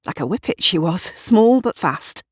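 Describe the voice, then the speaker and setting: A woman's voice: lowish voice, expressive, moderate pace, slightly rough, no room sound, clean studio sound. A woman voicing a self-assured, nosy neighbour who gives an emphatic witness account in an audio drama. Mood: certain, defensive.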